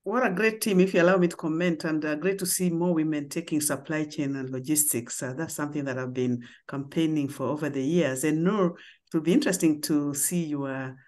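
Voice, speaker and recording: A judge cuts in over a video call with a short comment. The delivery is quick and upbeat at a middle pitch. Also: darkish tone.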